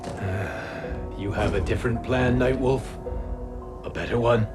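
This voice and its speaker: Medium-pitched male hero, acted, grave, cautious, sober delivery, fantasy game cutscene, dramatic music underneath. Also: measured pace, lightly acted. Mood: questioning. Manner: sober, concerned. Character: cautious, principled, grave warrior ally. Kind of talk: cinematic video game acting.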